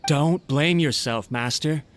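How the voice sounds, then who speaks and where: Loyal, concerned retainer. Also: female voice, low voice, slow. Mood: worried. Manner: deferential, concerned, earnest. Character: loyal, worried retainer to a clan master. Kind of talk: video game character acting.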